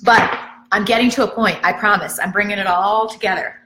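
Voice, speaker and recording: A woman's voice: mid-range voice, steady tone, a little fast, echoey room, noisy background. A woman from the Chicago area chatting casually and rambling on a live stream at home, joking about herself and answering viewer comments. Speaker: fitness vlogger hosting a live stream. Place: at home, indoors.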